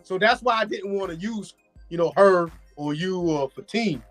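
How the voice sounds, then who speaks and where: High-voiced, blunt, animated man. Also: moderate pace, no room sound, soft background music. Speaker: show regular. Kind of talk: casual debate chat.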